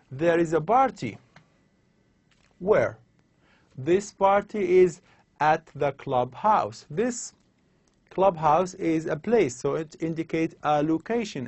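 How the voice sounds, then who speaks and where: Male lecturer, highish voice, walks thru examples over and over, dry close mic. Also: no echo. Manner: slow, explanatory, repeats examples. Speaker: university English lecturer. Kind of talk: recorded slide lecture on grammar.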